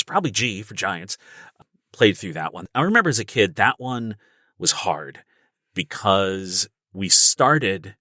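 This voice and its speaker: A middle-aged man, an actor appearing as a podcast guest, telling casual anecdotes with emphatic, animated phrasing. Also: on the low side, no room sound, clean studio sound.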